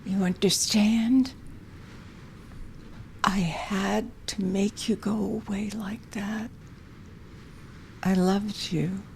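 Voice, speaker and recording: A haunted, guilt-ridden widow in an audio play. She speaks extremely slowly, with a creaky voice that keeps sinking toward a whisper. Her delivery is halting and fragile, over faint background noise. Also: medium pitch, steady tone. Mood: anxious pleading. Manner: halting, hushed, often dropping into whispers. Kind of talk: audio drama acting.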